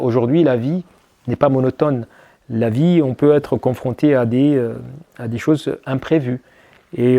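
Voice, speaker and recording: A man's voice: mid-range voice, creaky, dark tone. A man as an interview guest, answering reflectively with many hesitations and restarts. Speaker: guest, army officer.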